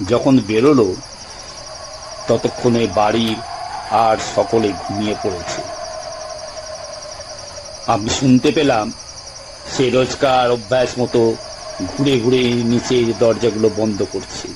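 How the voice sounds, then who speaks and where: A man narrating an audio story in the first person, with theatrical, deliberate phrasing and frequent pauses. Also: medium pitch, steady tone, noisy background. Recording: music bed behind narration.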